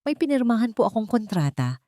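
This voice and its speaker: A woman voicing a humble young single mother in an audio story, respectful and sorrowful, confessing in a halting, pained way. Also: a little high, very animated, dark tone, no echo, studio quality, voice pushed up. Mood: tearful, tender.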